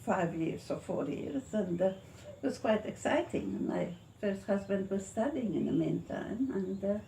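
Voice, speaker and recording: A woman in her eighties with a Latvian accent, recalling her life in an interview, haltingly and searching for words. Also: medium pitch, animated, gravelly, dark tone. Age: 80s. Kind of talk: oral history reminiscence. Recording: echoey room with faint noise.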